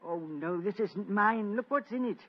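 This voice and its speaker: A man playing Lord Whittaker, an excitable, flustered aristocrat, in a vintage radio drama. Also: high voice, moderate pace. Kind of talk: 1940s radio acting. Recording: dull old radio recording.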